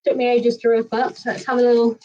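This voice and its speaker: Female host, fairly high voice, upbeat and giggly. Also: flat delivery, fast talker, dark tone, distant mic, highs cut off. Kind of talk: live stream hosting. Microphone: video call.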